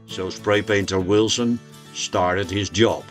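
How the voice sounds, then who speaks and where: A man's voice: lowish voice, fairly even intonation, slow pace, soft background music. A man presenting a narrated tour of a motorhome as a vlogger.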